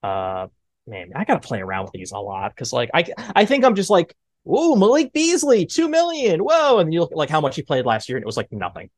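A man in his thirties hosting a sports podcast, chatting casually in an animated way. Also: on the high side, brisk, dry recording, clean studio sound. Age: 30s. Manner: animated, mimics exclaimed reactions. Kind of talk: casual sports debate chat.

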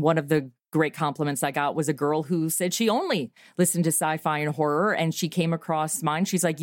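A woman's voice: deep voice, no room sound, clean studio sound. A middle-aged woman talking casually as a guest in an interview. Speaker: guest, audio comedy writer and performer.

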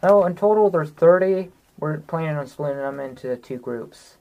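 A woman's voice: deep voice, animated, normal speed, lo-fi sound. A woman chatting casually as a player in a tabletop game.

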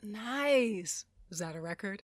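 A woman playing a brash, gruffly affectionate space outlaw sister in an audio drama. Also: medium pitch, animated, slow pace, no room sound, studio quality. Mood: brash, amused.

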